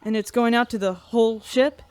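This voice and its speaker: A woman's voice: on the high side, fairly even intonation, fairly quick, a little mellow, clean tone, close to an everyday voice. A woman playing a shy, earnest animal keeper on a starship in a sci-fi radio drama, rambling hesitantly. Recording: faint ambient noise, staged barn.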